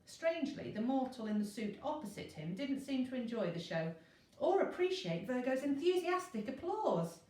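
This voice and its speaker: A woman's voice: sits high, sing-song, normal speed, warm tone. Echoey woman reading a children's story. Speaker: teacher reading a class novel. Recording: roomy echo, distant microphone.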